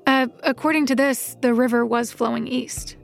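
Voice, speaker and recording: A woman's voice: fairly high pitch, fairly even intonation, normal speed, gravelly, faint music behind. A woman playing an eager but skeptical, orderly young job applicant in an audio drama. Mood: matter-of-fact. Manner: judgy, hesitant. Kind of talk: audio fiction dialogue.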